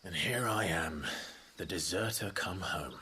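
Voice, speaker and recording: An actor playing a brooding deserter warrior in a game cutscene, grave, hushed and restrained. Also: male voice, a little slow, a little hiss or hum. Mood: subdued. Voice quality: very deep, hushed. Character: brooding, soft-spoken young male deserter warrior. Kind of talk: dramatic video game cutscene acting. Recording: loud music under the voice.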